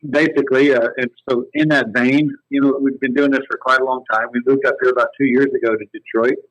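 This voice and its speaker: A man telling his company's history as a phone-in podcast guest, in a relaxed, rambling way. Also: medium pitch, fast, no echo, highs cut off. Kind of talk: casual storytelling interview.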